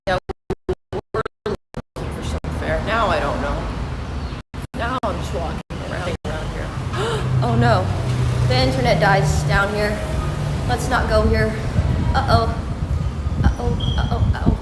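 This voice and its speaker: Female IRL streamer, very expressive pitch, playful off-the-cuff chat, recorded outdoors in loud city traffic. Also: measured pace, long pauses. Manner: playful, chatty, mock-dramatic complaining. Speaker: live streamer and vlogger. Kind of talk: casual walking livestream chat with viewers. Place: outdoors walking along busy city streets. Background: traffic, vehicles, honking.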